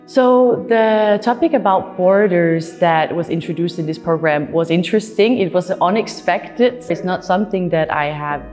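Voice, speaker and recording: A young woman from Denmark giving measured, reflective interview answers. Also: lowish voice, darkish tone, soft background music. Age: young adult. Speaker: program participant.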